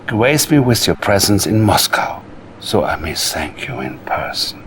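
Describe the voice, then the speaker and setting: An actor playing a sinister, cunning Soviet psychic mastermind in a game cutscene, silky and menacing, with a Russian accent. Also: male voice, low-pitched, big pitch swings, measured pace, background noise. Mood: falsely flattering. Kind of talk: theatrical game cutscene acting.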